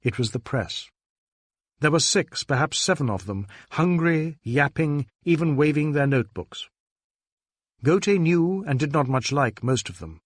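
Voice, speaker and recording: A man's voice: a little high, expressive, unhurried, dry recording. Dry-sounding male audiobook narrator. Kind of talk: scripted literary narration.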